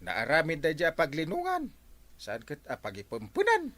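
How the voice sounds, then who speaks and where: An actor with an Ilocano accent playing a complaining, exclamatory village uncle in a radio comedy drama. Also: male voice, fairly high pitch, huge pitch swings, moderate pace, fairly bright tone, dry recording. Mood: grumbling.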